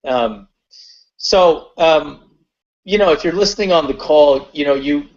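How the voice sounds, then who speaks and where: A man's voice: on the high side, unhurried. Reflective man on a muffled call. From Guyana. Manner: reflective, with many fillers and restarts. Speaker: webinar host. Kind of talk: personal story on a webinar call. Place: online call. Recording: muffled webinar call audio.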